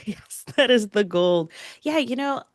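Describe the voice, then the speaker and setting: A woman's voice: on the high side, expressive, measured pace, no room sound, clean studio sound. A woman in her thirties from upstate New York, chatting as a podcast guest over a video call, upbeat and laughing often. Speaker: podcast guest, agency owner. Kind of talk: casual interview chat.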